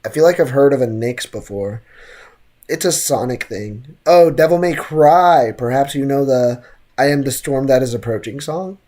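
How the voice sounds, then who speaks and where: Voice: mid-range voice, animated, normal speed, warm tone. A game streamer chatting casually over gameplay and reading chat, with slangy, joking asides.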